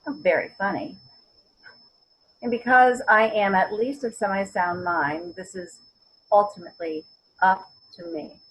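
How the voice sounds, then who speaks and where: An actress plays an elderly mother in a stage drama, her voice husky and mid-pitched. She is stubborn and wry, hunts for the right word, then lands it with firm emphasis. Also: measured pace, long pauses, low-quality recording. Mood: resolute. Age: older. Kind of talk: virtual readers theater acting.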